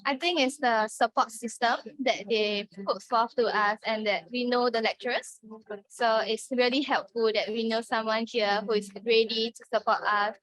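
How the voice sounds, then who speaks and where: A young woman from Singapore, a student speaking in a relaxed, thoughtful testimonial. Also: a little high, low-quality recording. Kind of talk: testimonial interview.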